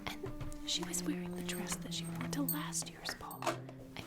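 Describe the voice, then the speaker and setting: A woman's voice: lowish voice, fairly even intonation, moderate pace, lightly acted. A woman playing a chatty, nosy society gossip, rambling in half-whispers in an ASMR roleplay. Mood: gossipy, curious. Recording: half-whispers under loud music.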